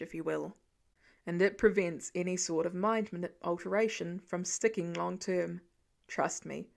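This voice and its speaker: A woman voicing a weary, gentle middle-aged male archer with an earnest, reassuring, plain-spoken manner in an audiobook story. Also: fairly low pitch, vocal fry, voice pushed down.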